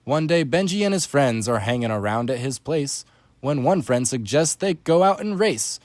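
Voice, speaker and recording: A man's voice: medium pitch, lively intonation, moderate pace, a little mellow, no echo. A man narrating a rhyming children's story as a scripted read-aloud.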